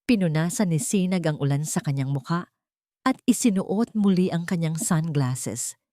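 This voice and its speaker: Low-voiced woman narrating a drama. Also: lively intonation, normal speed, dark tone, dry recording, clean studio sound. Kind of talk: dramatic audio story narration.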